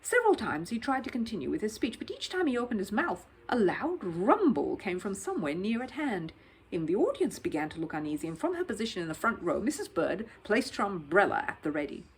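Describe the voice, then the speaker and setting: Animated, slightly husky woman storyteller. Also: medium pitch, brisk, poor audio. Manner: animated, dramatic. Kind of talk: expressive children's audiobook narration.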